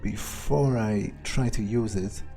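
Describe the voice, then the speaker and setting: A man playing a wary, crude, sardonic vampire in an improvised video game roleplay, muttering his thoughts with hesitant pauses. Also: on the low side, mellow, soft background music. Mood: musing, wary. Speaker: gamer playing in character.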